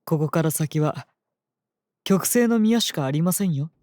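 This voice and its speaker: A composed knight, voiced by an actor with a mid-range pitch, speaking with formal courtesy and calm devotion. It is fantasy audio drama acting, recorded close and dry. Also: a little slow, no room sound, natural voice. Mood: composed, courteous.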